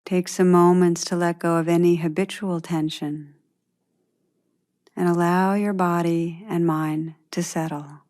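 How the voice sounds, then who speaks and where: A woman leading a guided meditation in a gentle, unhurried manner, leaving long pauses between phrases. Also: fairly low pitch, level tone.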